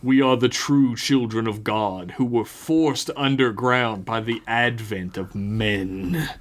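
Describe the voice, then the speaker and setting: A man voicing a huge, calm, menacing cult reverend for a tabletop horror game, with a deep guttural voice and solemn, measured delivery. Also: close to an everyday voice. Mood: zealous preaching. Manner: slow, measured, solemn preaching.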